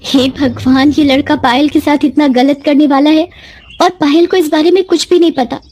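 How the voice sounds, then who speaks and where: A woman voicing a gentle, dutiful young village cousin in a moral story, earnest and respectful. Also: high voice, fairly even intonation, normal speed, pitched above the performer's own voice, natural voice. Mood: shocked, worried. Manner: soft-hearted, earnest, respectful.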